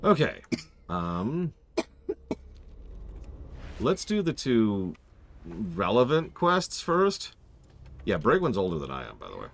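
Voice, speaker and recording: A man in his forties chatting casually on a game stream, joking in a dry, deadpan way. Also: on the low side, slow pace, creaky, long pauses. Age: 40s.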